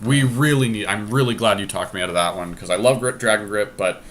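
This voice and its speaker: A man's voice: on the low side, brisk, fairly bright tone. A man talking casually through card game deck choices, deliberating and reasoning aloud as he goes. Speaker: podcast host drafting a card game deck.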